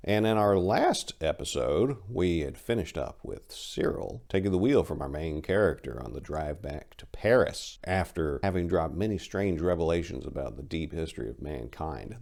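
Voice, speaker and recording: Deep gravelly man narrating a story. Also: darkish tone. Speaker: author reading own short story. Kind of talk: dramatic scripted narration of a horror story.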